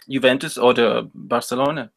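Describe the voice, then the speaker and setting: A man's voice: mid-range voice, fairly even intonation, moderate pace, creaky. A man hosting a casual interview, asking simple questions and repeating answers back. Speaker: interviewer, host.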